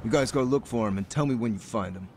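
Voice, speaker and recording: An actor playing a cocky young male high school gang leader in an English game dub, mocking, swaggering and slangy. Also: fast, darkish tone, a little hiss or hum, lightly acted. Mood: smug, amused. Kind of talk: English video game dub cutscene acting. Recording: music under the voice.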